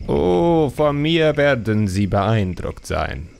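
A man voicing a cocky but wary young male troll sidekick with a drawn-out, boastful and ominous manner, in a fantasy audiobook. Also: medium pitch, very animated, gravelly, darkish tone, clear, resonant tone, voice pushed up, exaggerated voice. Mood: smug.

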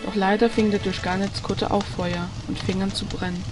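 A woman narrates an audio drama from a script. Her mid-pitched voice stays very even, and loud music plays beneath it. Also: brisk.

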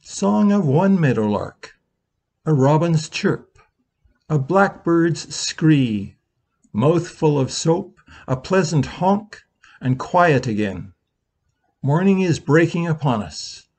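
A man in his sixties, a minister, reading a slow, reflective Easter meditation from his living room over a webcam, with long pauses. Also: on the high side, sing-song, creaky, a little mellow, slightly rough.

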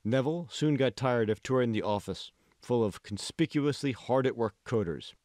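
A man's voice: mid-range voice, moderate pace, a little mellow, dry recording, studio quality. A man reading scripted audiobook narration as the author of the book.

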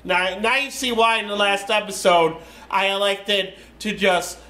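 Bright, high-voiced American man, echoey room. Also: steady tone, measured pace. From United States. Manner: rambling, chatty, wanders off topic. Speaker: let's play host. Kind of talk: casual game commentary.

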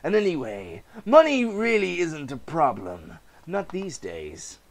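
A sinister, gleeful male clown villain, acted out by a man reading aloud. He is flamboyant and mocking, with high, swooping pitch. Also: huge pitch swings, slow, gravelly, heavily acted.

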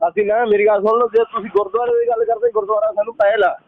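Over a thin, tinny phone line comes a man with a Punjabi accent and a high voice. He argues informally and quickly, protesting in plain, repeated phrases as a caller defending his side. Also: flat delivery, dry recording.

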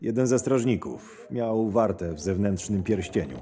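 A man playing a cynical, scheming camp henchman in an audio drama, narrating his days in a dry, measured, sardonic way. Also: on the low side, lively intonation, mellow, husky. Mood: businesslike, deferential. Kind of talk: first-person scripted narration. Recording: music under the voice. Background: background music.

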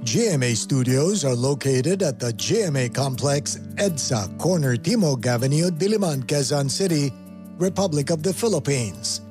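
A man's voice: a little high, animated. Formal male station announcer over music. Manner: measured, enunciated reading. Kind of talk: formal scripted sign-off announcement. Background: background music.

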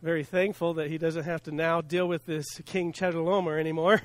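A middle-aged man preaching a sermon from a church lectern, earnest and unhurried, dropping softly at the ends of phrases and laughing at words he stumbles over. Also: on the high side, flat delivery, no room sound. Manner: earnest, preaching from a lectern with pauses and soft drops; self-deprecating laugh at hard words. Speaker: pastor. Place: church sanctuary with stage and screen. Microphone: stand mic at lectern, filmed from the back.

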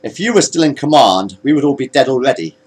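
A man's voice: mid-range voice, lively intonation, quick pace, a little mellow, husky. A man voicing a firm, defiant blue-skinned alien first officer giving clipped commands in a space story. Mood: assertive.

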